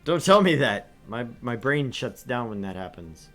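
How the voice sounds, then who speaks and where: A man streaming a visual novel, reading narration aloud and chatting with his co-host, animated and quick to laugh. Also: mid-range voice, faint music behind. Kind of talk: game stream reading and chat.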